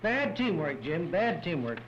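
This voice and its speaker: High-voiced American man, muffled old newsreel. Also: very animated, unhurried. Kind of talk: staged newsreel banter. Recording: dull, noisy 1930s newsreel sound.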